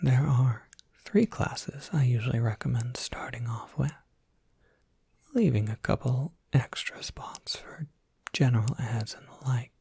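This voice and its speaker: A performer voicing a kindly, wry professor advising a student in an ASMR roleplay, in a soft breathy whisper with an unhurried, helpful manner. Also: mid-range voice, no echo, full character voice. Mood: gentle, helpful. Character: kindly, wry tenured professor at a monster academy. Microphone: close microphone, dry.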